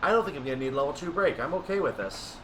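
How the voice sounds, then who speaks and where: A man giving casual commentary on a train simulator, thinking aloud and explaining in an unhurried way. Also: on the high side, expressive. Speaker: gaming video creator. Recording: distant mic, clear background noise.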